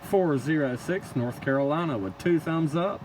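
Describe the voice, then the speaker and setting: A man's voice: fairly high pitch, moderate pace. A man working a CB radio, calling out contacts in clipped call-sign phrases. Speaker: CB radio operator. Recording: very loud vehicle-like background noise.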